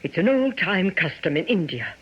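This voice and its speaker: An actress playing a world-weary, self-protective mistress and mother in a radio drama, with a theatrical, halting delivery. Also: lowish voice, sing-song, a bit bright, breathy edge, heavily acted. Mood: wry, defensive. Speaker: actor. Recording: dull lo-fi radio broadcast.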